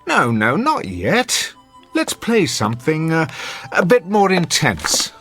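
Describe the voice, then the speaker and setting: Suave, sadistic husband, wildly swooping delivery. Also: male voice, fairly high pitch, huge pitch swings, takes it slowly, a bit bright, breathy edge, faint music behind, full character voice. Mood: scheming. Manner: pompous, theatrical, urbane, goading. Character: suave, sadistic middle-aged husband, amused and manipulative. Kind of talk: old-time radio drama acting.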